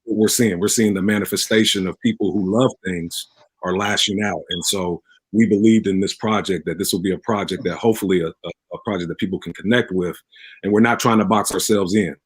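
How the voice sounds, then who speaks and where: A man giving conversational interview answers, speaking haltingly and repeating words as he thinks. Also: low-pitched, steady tone, breathy edge, no echo, rough recording. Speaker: pastor and radio drama producer, interview guest.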